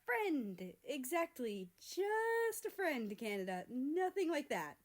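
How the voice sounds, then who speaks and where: A woman's voice: high-pitched, sing-song, slow, voice pushed up, full character voice. A woman voicing a flustered, defensive teenage boy with a dramatic, indignant way of blurting things out in an audiobook-style story.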